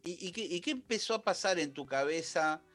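A man hosting a radio interview, relaxed and colloquial. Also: high voice, fairly quick, soft background music. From Argentina. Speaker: radio host. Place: radio studio.

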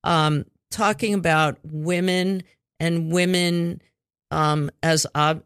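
A woman's voice: on the low side, fairly even intonation, takes it slowly, a bit bright, clear, resonant tone, no echo, clean studio sound. A woman hosting a casual community radio interview, rambling and chatty with offhand swearing. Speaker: radio show host.

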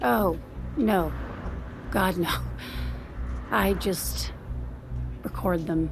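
A woman's voice: mid-range voice, creaky, over music. An actress playing a reserved, awkward married woman, hesitant and earnest, in a naturalistic audio drama. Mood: shy. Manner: hesitant, halting.

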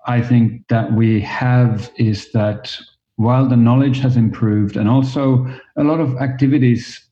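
A man in his forties from Finland with a Finnish accent, speaking as a guest on an interview podcast in a thoughtful, measured way. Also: mid-range voice, steady tone, mellow, highs cut off. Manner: thoughtful, measured, with occasional restarts. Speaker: guest, fashion educator.

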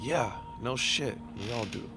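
An actor playing a cynical, resigned, hard-drinking war veteran around thirty, talking crudely and bluntly in audio drama style. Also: male voice, mid-range voice, unhurried, close to an everyday voice. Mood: exasperated. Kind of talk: naturalistic audio drama acting. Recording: loud music behind the dialogue.

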